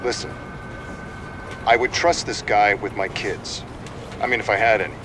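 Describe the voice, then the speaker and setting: A man's voice: fairly low pitch, fairly even intonation, moderate pace, far from the mic, background noise, close to an everyday voice. An actor playing an evasive corporate spokesman in a game, giving reassuring PR answers in a TV interview. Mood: defensive, reassuring. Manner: reassuring PR delivery. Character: smooth-talking, evasive male corporate spokesman.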